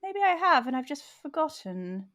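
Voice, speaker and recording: A woman's voice: high-pitched, sing-song, normal speed, fairly bright tone. A woman playing a tabletop role-playing game, talking hesitantly with a wondering tone. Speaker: player.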